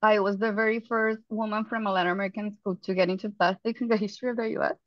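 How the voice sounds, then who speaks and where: A woman in her thirties with a Colombian accent, a startup founder telling her story on a webinar panel, earnest and quick, with restarts. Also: mid-range voice, fairly even intonation, no echo. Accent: Colombian Spanish. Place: home office, indoors. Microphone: headset. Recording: dry, lo-fi video call audio.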